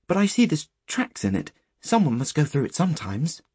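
A man's voice: a little high, sing-song, a little fast, darkish tone, slightly rough, no room sound, studio quality, pitched above the performer's own voice. A man voicing a resourceful, matter-of-fact schoolboy Scout in a storybook, practical and chipper. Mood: observant. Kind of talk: storybook character voice.

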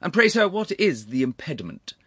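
A man's voice: fairly high pitch, very animated, a little fast, bright, forceful tone, husky, no echo, pitched above the performer's own voice, full character voice. A man voicing a haughty, irascible older aristocratic uncle in a storybook reading, stern and pompous, with cutting formal phrasing. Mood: acid, commanding. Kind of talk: audiobook character voice.

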